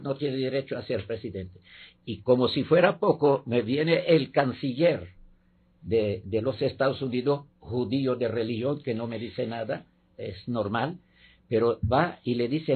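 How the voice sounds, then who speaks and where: A man, a guest professor, giving measured political commentary in a debate, pausing often with fillers. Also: mid-range voice, slightly rough. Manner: measured, halting with many fillers. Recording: tinny phone-like line.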